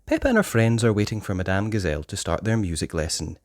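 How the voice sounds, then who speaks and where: A storyteller reading a children's picture book aloud with expressive, measured scripted narration. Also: sits low, no echo, clean studio sound.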